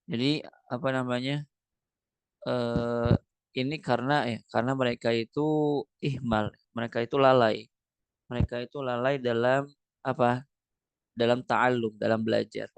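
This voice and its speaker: Gravelly-voiced man teaching an online class. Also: mid-range voice, fairly even intonation, normal speed, pauses often, dry recording. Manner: reads Arabic lines then paraphrases them, many fillers and rhetorical questions. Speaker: Quran recitation teacher. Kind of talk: online class lecture reading an Arabic text and explaining it.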